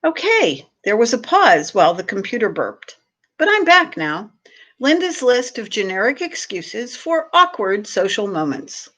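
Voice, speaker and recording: High, animated woman reading aloud. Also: measured pace. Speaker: library staff reader hosting a read-aloud. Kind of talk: expressive read-aloud of a humorous short piece.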